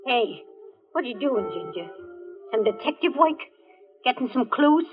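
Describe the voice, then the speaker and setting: An actress playing an excitable, slangy middle-aged mother with a husky voice in American vintage radio drama style. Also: high-pitched, measured pace, soft background music. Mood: startled, indignant. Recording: muffled old radio recording.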